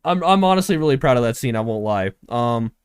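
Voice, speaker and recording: A man's voice: mid-range voice, expressive, a little fast, clean tone, no echo. Casual, rambling young man, dry sound. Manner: informal, rambling, heavy use of "like". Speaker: filmmaker and host. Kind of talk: casual project update.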